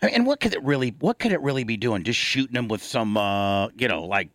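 A man's voice: medium pitch, animated, fast talker, fairly bright tone, dry recording, studio quality. A man hosting a casual comedy talk show, speaking in a colloquial, insistent, joking way. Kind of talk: casual, joking banter and ad reads.

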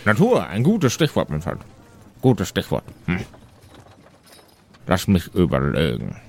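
A man playing a pensive, nature-loving adventurer in a tabletop game, speaking deliberately and formally and repeating himself. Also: low voice, wildly swooping pitch, gravelly, pitched below the performer's own voice, exaggerated voice. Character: pensive, nature-loving male adventurer, friend of forest animals.

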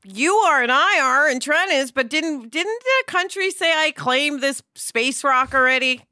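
Woman co-host, higher voice, bright and animated, reflective conversational tone. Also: a little slow, no echo, studio quality. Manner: reflective, asks rhetorical questions. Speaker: podcast co-host. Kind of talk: casual podcast chat.

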